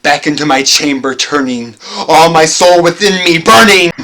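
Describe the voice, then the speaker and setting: A young man giving a theatrical, brooding recitation of gothic horror poetry, moving between hushed and loud delivery. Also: a little high, moderate pace, slight background noise. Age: young adult. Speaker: performer reciting a poem. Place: furnished basement living room.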